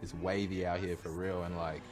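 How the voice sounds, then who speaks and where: A man's voice: low-pitched, level tone, moderate pace. A young man, a hip hop artist, talking bluntly and with heavy slang in an interview. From Australia. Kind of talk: candid interview. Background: music playing.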